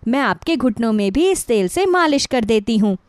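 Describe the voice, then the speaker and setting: A woman's voice: fairly high pitch, expressive, moderate pace, clean tone, no room sound, clean studio sound, natural voice. A woman playing a sweet, dutiful young daughter-in-law, eager to please, in a moral story. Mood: caring. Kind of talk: acted dialogue in a moral story.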